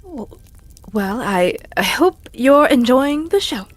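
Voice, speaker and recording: A woman's voice: high-pitched, very animated, slow, dry recording, pitched above the performer's own voice, heavily acted. A woman voicing a shy, easily flustered adventurer in a tabletop game, hesitant and stammering when teased.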